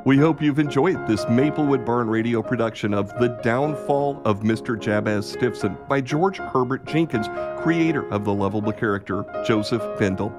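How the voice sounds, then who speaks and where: A man's voice: mid-range voice, moderate pace, few pauses. A man reading scripted narration and announcements for a radio play. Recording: music under the voice. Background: music.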